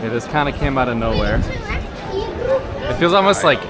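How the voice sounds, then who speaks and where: A man's voice: medium pitch, expressive, moderate pace, over loud music, over a noisy crowd. An American man chatting casually and unscripted to the camera as a travel vlogger, informal and rambling, full of slang. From United States. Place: outdoors walking through a city. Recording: clearly noisy outdoor city street with traffic.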